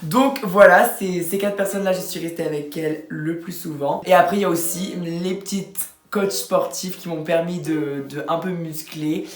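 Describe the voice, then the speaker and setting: A woman's voice: fairly low pitch, normal speed, hardly any pauses, reverberant. A young woman chatting in a casual, playful voice-over full of slang as she introduces her friends. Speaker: vlogger narrating her camp video.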